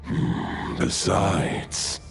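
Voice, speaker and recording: A man voicing an ancient, solemn male wolf spirit for a video game, speaking in grave, archaic, formal phrases with a gravelly tone. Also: very slow. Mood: solemn, commanding.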